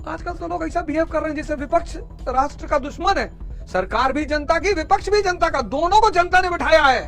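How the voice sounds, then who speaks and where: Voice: high voice, fairly quick, fairly bright tone. A teacher explaining a point in a lecture, asking questions along the way.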